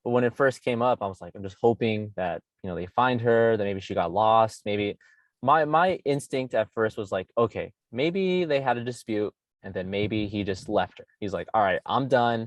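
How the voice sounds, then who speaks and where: A man hosting a podcast, talking in a laid-back, halting way, repeating words and saying "man" and "you know.". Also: fairly low pitch, no room sound. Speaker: podcast host. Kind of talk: casual chat.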